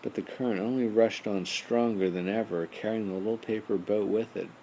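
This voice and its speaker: Low-voiced man reading a bedtime story. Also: steady tone, brisk, warm tone. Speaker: audiobook narrator. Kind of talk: scripted bedtime story narration. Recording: noisy amateur home recording.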